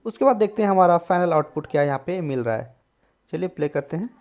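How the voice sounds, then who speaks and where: A man hosting a casual tutorial on music software, explaining step by step and often adding short check-in tags. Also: fairly high pitch, expressive, fast. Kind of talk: casual screen-recorded tutorial.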